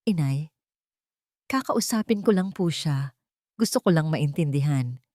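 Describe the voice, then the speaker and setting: A woman's voice: low voice, expressive, a little slow, dark tone, no echo, clean studio sound. A woman voicing a shy, dreamy young woman in a romance story, speaking politely and tentatively. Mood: shy, curious.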